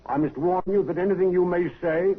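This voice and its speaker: A man playing a dry, brisk police inspector. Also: high voice, music in the background, natural voice. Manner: brisk, crisp, dryly authoritative. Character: calm, dry Scotland Yard police inspector. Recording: tinny vintage radio broadcast with hiss.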